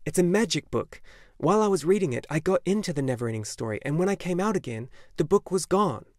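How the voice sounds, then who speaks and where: An earnest young boy, eager and at times halting, as a male narrator puts him on. The voice is raised well above the narrator's own, dry and close. A storybook hero speaking with sincere urgency. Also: a little high, expressive, darkish tone, no room sound, voice pushed up, lightly acted. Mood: urgent. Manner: eager, halting when unsure. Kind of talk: audiobook character voice.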